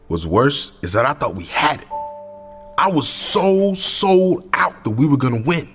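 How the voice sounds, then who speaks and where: An actor playing an intense, embittered young community activist in an audio drama, impassioned and slangy. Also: male voice, on the high side, very animated, a little slow, fairly bright tone, long reverb tail, faint music behind. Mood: grieving, earnest.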